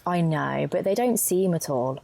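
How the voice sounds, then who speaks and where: Young woman playing a matter-of-fact scientist, creaky voice. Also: on the low side, animated, moderate pace, a little mellow. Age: young adult. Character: earnest female lab scientist calmly explaining grisly research. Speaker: student actor. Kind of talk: naturalistic audio drama dialogue.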